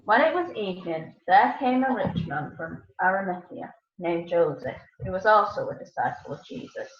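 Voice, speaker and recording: Casting: female narrator for scripture, slightly low voice, roomy and a little dull recording. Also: expressive, normal speed. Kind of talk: scripture reading. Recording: echoey room, slightly muffled.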